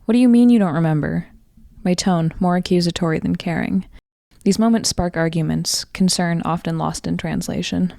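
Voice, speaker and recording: A woman's voice: lowish voice, normal speed, vocal fry, dark tone, no room sound, clean studio sound. A woman reading a scripted first-person personal essay as its writer.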